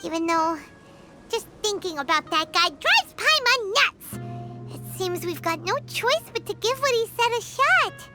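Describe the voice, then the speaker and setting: A woman voicing a tiny childlike fairy companion in a video game, squeaky, cute, emphatic and excitable. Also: unhurried, exaggerated voice. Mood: consoling. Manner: squeaky, cute, emphatic, speaks of herself in third person. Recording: loud music behind the voice.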